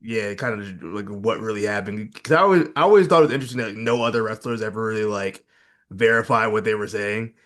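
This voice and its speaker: A man's voice: on the low side, fast talker, a bit bright. Rambling, hesitant male podcast co-host. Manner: rambling, hesitant, lots of fillers. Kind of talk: casual chat.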